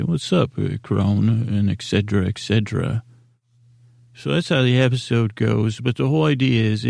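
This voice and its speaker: Man, podcast host, low pitch, creaky, self-deprecating, rambling sleep monologue, halting delivery. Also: darkish tone, no echo, studio quality.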